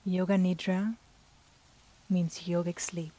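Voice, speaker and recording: A woman guiding a yoga nidra meditation in a soft, breathy, soothing manner with long pauses. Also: fairly low pitch, level tone, low-quality recording. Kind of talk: guided meditation.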